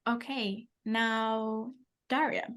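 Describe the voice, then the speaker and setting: Young woman English teacher playing examiner, upbeat, clear, encouraging, somewhat high voice. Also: fairly even intonation, drawn-out words, fairly bright tone. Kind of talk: mock speaking exam, teacherly.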